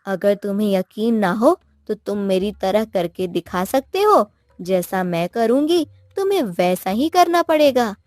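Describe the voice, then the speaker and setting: A woman's voice: medium pitch, big pitch swings, measured pace, darkish tone, no room sound, studio quality. A woman voicing a clever, bold young wife in a cartoon moral tale, speaking in a taunting, coaxing manner. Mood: defiant, taunting. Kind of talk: cartoon moral story acting. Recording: music behind the voice.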